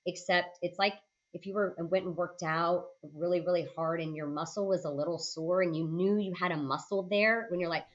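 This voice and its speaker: A woman in her late forties talks as an interview guest in a low-pitched voice. She is reflective and conversational, speaking in short phrases and checking in with the listener. The recording is a little dull and lo-fi. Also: steady tone, normal speed, few pauses. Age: late 40s. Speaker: podcast guest, senior healthcare leader. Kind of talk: conversational interview, personal storytelling.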